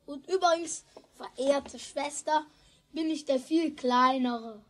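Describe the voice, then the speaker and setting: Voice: high voice, slow, a bit bright. A performer playing a sulky, cheeky young schoolboy who complains defiantly in a children's radio play. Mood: stubborn, defiant.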